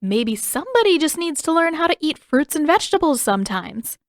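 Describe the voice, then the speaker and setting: A woman's voice: high-pitched, sing-song, brisk, no echo, studio quality, voice pushed up. A woman voicing a stubborn, teasing teenage girl who bickers sarcastically in an audiobook story. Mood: prodding.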